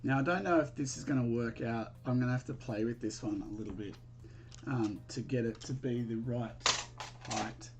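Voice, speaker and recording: A man's voice: mid-range voice, normal speed. A man with an Australian accent hosting a casual live stream, chatting informally with viewers and thinking aloud in a hesitant, rambling way. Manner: friendly, informal greetings to viewers. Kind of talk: casual chat while building, answering viewers.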